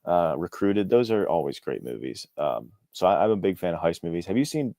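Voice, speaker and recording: A man with a deep voice, recorded close and dry, chats as a guest author. He explains things informally and at length, with an enthusiastic, rambling manner. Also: sing-song, brisk, creaky, mellow, no echo. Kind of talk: casual conversational interview.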